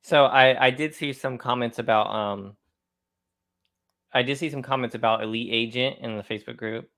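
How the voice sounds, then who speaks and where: A man's voice: mid-range voice, steady tone, moderate pace, a bit bright. A man co-hosting a live podcast, chatting casually and responding to comments.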